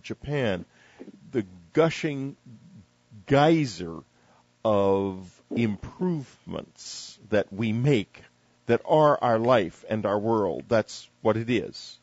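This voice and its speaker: A man interviewing in a measured, literary way, deliberate and breaking his phrases with pauses. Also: on the low side, a little mellow, no room sound, lo-fi sound. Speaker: radio interviewer.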